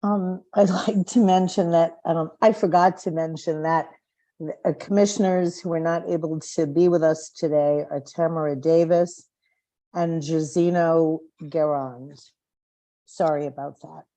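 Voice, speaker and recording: A woman serving as vice chair, formally opening and running a public commission meeting in a measured, slightly halting way. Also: low-pitched, fairly even intonation, warm tone.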